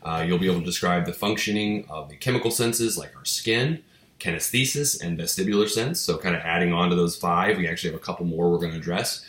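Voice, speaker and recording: Stuffy-nosed man with a low voice. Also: quick pace, roomy sound. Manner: relaxed, chatty, many fillers and restarts. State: stuffy head cold. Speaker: psychology instructor. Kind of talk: casual recorded video lecture. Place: small home office, indoors.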